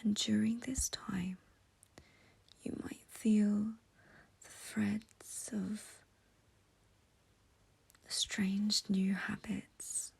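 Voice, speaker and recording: A woman leading a guided meditation in a soft, breathy near-whisper, with a soothing, gentle, intimate manner. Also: mid-range voice, level tone, very slow, pauses often.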